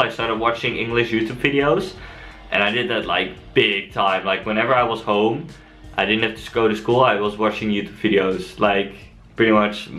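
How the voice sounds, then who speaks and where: A young Dutch man with a Dutch accent, answering viewer questions in a relaxed, chatty vlog. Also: fairly low pitch. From Netherlands. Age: young adult. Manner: relaxed and chatty, frequent fillers. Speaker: cycle-touring vlogger. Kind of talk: casual vlog Q&A.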